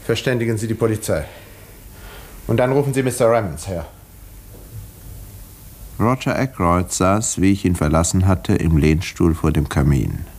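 An actor playing a village doctor who narrates a murder case in a precise, dramatic 1950s German radio play manner. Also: male voice, deep voice, moderate pace, pauses often, darkish tone. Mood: grave, firm. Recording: vintage 1950s radio recording, roomy dialogue scenes.

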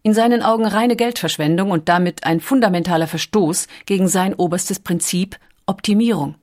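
A woman narrating a documentary in a calm, even storytelling manner. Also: medium pitch.

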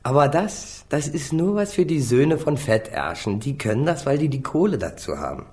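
A man's voice: mid-range voice, very animated, normal speed, darkish tone, lightly acted. A man acting a defiant, street-tough young small-time crook in a German radio crime drama, flippant and slangy. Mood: bitter, self-pitying. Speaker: actor playing a defendant.

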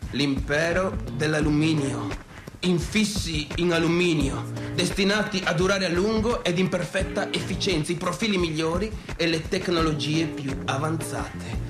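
An actor playing a brash, unsettled only son with a Venetian accent, talking colloquially and repetitively straight to the audience in a radio drama. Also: male voice, on the high side, normal speed, over music, lightly acted. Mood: salesman's patter. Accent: Venetian, northeastern Italian. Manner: colloquial, repetitive, swinging between clowning and defensiveness. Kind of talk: theatrical radio-drama monologue addressed to the audience.

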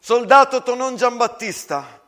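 A man's voice: very high voice, animated, a little slow, fairly bright tone, voice pushed up, exaggerated voice. A man acting a stern, anguished wartime army captain on stage, barking his words in an insistent, commanding way. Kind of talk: theatrical stage monologue acting.

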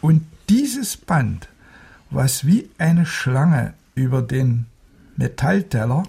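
An older man, a veteran radio engineer with a gravelly, husky voice, reminiscing among colleagues in animated conversation. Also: medium pitch, takes it slowly.

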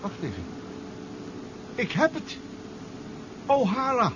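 A man's voice: extremely high pitch, sing-song, slow, full character voice. A man acting a polite, eager scientist in a radio drama, explaining things a little hesitantly. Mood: earnest. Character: eager, polite scientist reuniting with an old colleague. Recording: muffled, noisy old radio recording.

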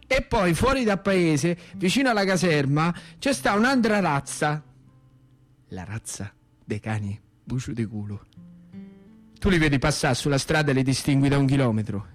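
A man's voice: on the high side, big pitch swings, moderate pace, soft background music. A man with a central Italian accent performing a dramatic storytelling monologue in a colloquial, rhythmic, theatrical way. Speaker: actor and storyteller.

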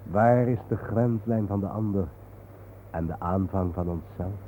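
Low-voiced, weary, brooding man on old radio. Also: measured pace, warm tone. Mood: brooding, poetic. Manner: grave, reflective. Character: weary, brooding, haunted male guide, calming a companion. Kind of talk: radio drama acting, at times poetic. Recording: tinny narrow-band old radio recording, hissy.

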